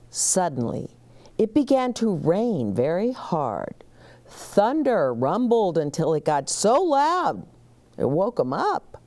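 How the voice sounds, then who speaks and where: A woman hosting a children's story time, reading aloud expressively and speaking straight to young listeners. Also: low-pitched. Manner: expressive, deliberate, addressing young listeners directly.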